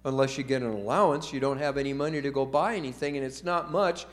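A man's voice: medium pitch, fast. A man in his seventies telling a story from memory to children in a folksy, chatty way, often asking them questions. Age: 70s. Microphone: told from memory without notes.

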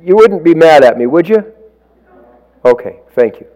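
A man preaching in church, telling folksy stories with self-deprecating asides and a loud, projecting voice on a stage microphone. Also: a little high, very animated, normal speed, low-quality recording. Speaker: lay preacher. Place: church sanctuary. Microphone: stage microphone, walks around.

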